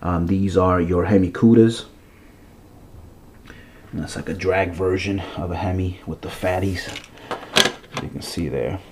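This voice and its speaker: A man's voice: sits low, measured pace, pauses often, heavy background noise. A man with a stuffy nose showing his toy car collection at home in a rambling, chatty way, full of fillers and restarts. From Massachusetts, USA. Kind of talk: casual vlog.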